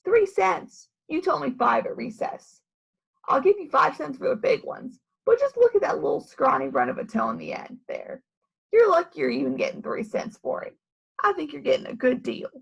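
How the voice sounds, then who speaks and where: A woman's voice: high-pitched, very animated, normal speed, vocal fry, full character voice, low-quality recording. A woman voicing a teasing, persuasive male teacher who haggles in a storybook. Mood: haggling.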